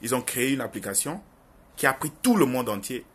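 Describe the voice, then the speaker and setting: A man's voice: medium pitch, very animated, a little fast, fairly bright tone, breathy edge. A young man with a Francophone African accent giving an informal opinion monologue to viewers, speaking earnestly and insistently and repeating phrases for emphasis. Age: young adult. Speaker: online video commentator.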